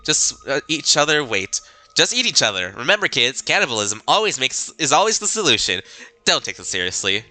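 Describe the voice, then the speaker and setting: A man live-streaming a game with goofy, excitable commentary, reading the text aloud with a slightly stuffy nose. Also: mid-range voice, brisk, faint music behind, rough recording.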